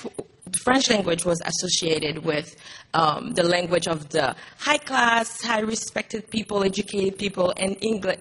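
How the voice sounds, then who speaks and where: A woman's voice: medium pitch, fairly bright tone, breathy edge, poor audio. A woman, an actress on a panel, speaking earnestly in English, often restarting her sentences. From Haiti. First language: Haitian Creole. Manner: earnest, frequent restarts and fillers. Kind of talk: panel talk, personal story. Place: Montreal.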